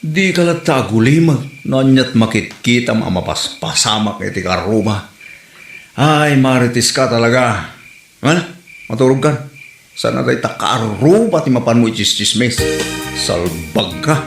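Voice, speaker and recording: Casting: actor as exasperated, scolding Ilocano village husband, mid-pitched, comedy drama, echoing sound. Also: male voice, expressive, measured pace, vocal fry, soft background music. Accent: Ilocano Filipino. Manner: grumbling, nagging. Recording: roomy echo with faint noise.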